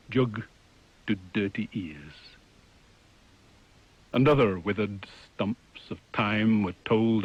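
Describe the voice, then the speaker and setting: A man giving a solemn, deliberate poetry reading. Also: medium pitch, lo-fi sound. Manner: measured, deliberate.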